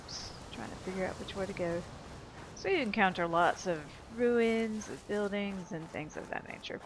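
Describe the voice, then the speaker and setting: A woman's voice: medium pitch, moderate pace, background noise. A woman giving casual video game walkthrough commentary, thinking aloud in a rambling way. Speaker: gaming video host. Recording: game music behind the voice. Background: video game music.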